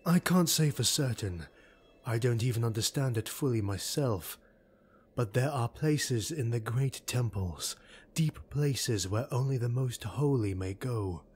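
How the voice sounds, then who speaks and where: A man voicing a grave, secretive hooded male retainer, speaking in a hushed, half-whispered way. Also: mid-range voice, lively intonation, measured pace, a little hiss or hum, lightly acted. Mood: ominous.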